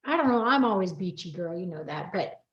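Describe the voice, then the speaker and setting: A woman's voice: fairly low pitch, lively intonation, brisk. A woman chatting casually and playfully as she hosts a personal chat and asks questions, heard in a roomy, slightly dull recording. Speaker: co-author hosting a personal chat.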